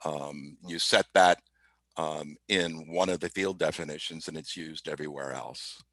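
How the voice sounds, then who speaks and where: A man explaining software informally as an interview guest, methodical and somewhat halting in his delivery. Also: rumbling low, fairly even intonation, dry recording. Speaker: podcast guest, IT specialist. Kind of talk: informal software demo talk.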